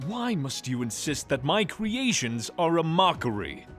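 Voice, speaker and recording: A man's voice: fairly high pitch, very animated, measured pace, fairly bright tone, faint music behind. A man voicing a proud, stubborn god of the forge in a fantasy audio drama, with formal, emphatic delivery. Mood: indignant. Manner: indignant, emphatic, formal diction.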